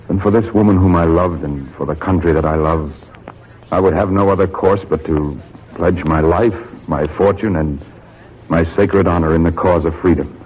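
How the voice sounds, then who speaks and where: An actor playing a solemn, idealistic young colonial gentleman with a halting, earnest delivery in a radio drama. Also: low voice, close to an everyday voice. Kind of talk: 1940s radio acting. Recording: dull, faintly noisy 1940s radio recording.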